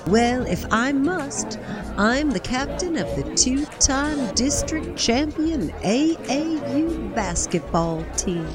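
A woman's voice: sits high, expressive, slow, music in the background. A woman playing a confident, wry young country girl and athlete working in the city, in a period radio drama. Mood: proud, cheerful.

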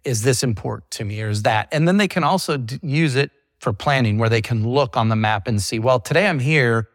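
A man's voice: medium pitch, brisk, dry recording, studio quality. A middle-aged man, a company CEO, talking through his business in a casual interview. He is chatty, leans on "you know" fillers, and acts out what his clients say. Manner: quotes clients in an acted voice.

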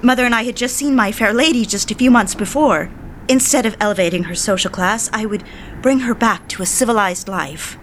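A woman's voice: fairly high pitch, brisk, a bit bright, slight background noise, close to an everyday voice. An actress playing a genteel, eager young society woman in an audio drama, speaking and narrating with proper, polite diction. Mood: wistful, reflective. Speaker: lead character and narrator. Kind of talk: audio drama acting with first-person narration.

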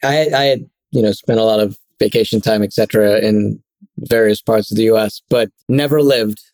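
A man's voice: on the low side, steady tone, darkish tone, no echo. Thoughtful man from Trinidad, dry sound. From Trinidad and Tobago. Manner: thoughtful, self-deprecating, measured. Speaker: guest, product executive. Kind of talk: casual interview chat.

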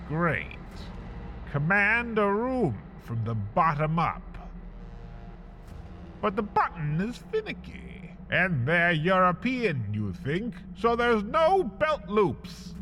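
A man hosting and narrating a scripted comic horror piece, theatrical and drawn out, swinging from whispers to shouts. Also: high-pitched. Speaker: host and narrator.

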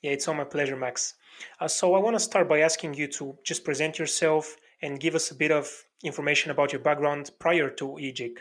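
Slightly high-voiced podcast host. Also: male voice, fairly even intonation. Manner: measured, formal phrasing. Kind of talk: scripted podcast intro and interview questions.

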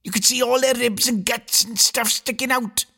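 A man voicing a cheeky, excitable young boy with a shrill voice in a read-aloud story. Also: moderate pace, creaky, heavily acted. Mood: excited. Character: boastful gory young boy, youngest child.

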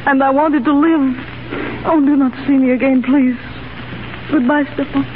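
A woman's voice: high-pitched, steady tone, moderate pace, close to an everyday voice. An actress playing a dutiful, lovelorn Russian revolutionary woman in a 1930s radio drama. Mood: anguished, tender. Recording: scratchy, narrow-band 1930s radio broadcast.

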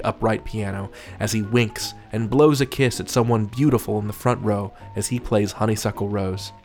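Male podcast host, mid-pitched, for scripted intros; theatrical delivery with playful, wry asides.

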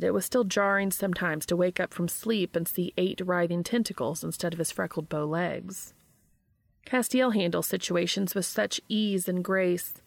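A woman reading a story aloud as narrator, in an expressive scripted storytelling style. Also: fairly low pitch, dry recording. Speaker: fan fiction reader and narrator.

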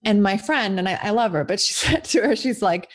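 A woman's voice: mid-range voice, lively intonation, brisk. A woman in her forties, an author talking as a guest in a casual interview, chatty and rambling with many restarts. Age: 40s.

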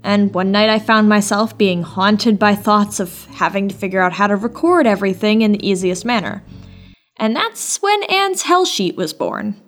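Female podcast co-host, mid-pitched, candid and playfully dramatic, self-deprecating, faint music underneath. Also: lively intonation, moderate pace, a bit bright. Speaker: podcast co-host and production organizer. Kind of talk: conversational podcast narration.

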